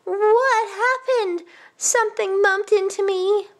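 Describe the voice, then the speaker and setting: A woman voicing a kindly, modest old village grandmother in a storybook, gentle and given to exclaiming. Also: very high-pitched, slow, voice pushed up, heavily acted. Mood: startled.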